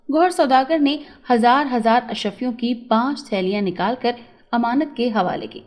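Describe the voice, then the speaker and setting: A woman's voice: fairly high pitch, a little mellow. A woman storyteller reading a scripted moral tale as narration.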